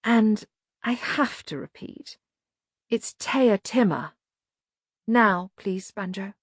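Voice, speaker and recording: A woman's voice: medium pitch, expressive, slow pace, dry recording. A well-mannered male villain, as a woman reads him, speaks in a storybook with cool precision. He stays courteous and unruffled, with menace under the politeness. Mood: cool courtesy. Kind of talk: audiobook character voice.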